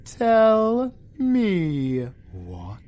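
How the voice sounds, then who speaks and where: An actor playing a commanding, amorous alpha werewolf with a British accent and a theatrical, wry manner in an audio roleplay. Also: male voice, high-pitched, huge pitch swings, very slow, darkish tone, dull audio, exaggerated voice. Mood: suspicious.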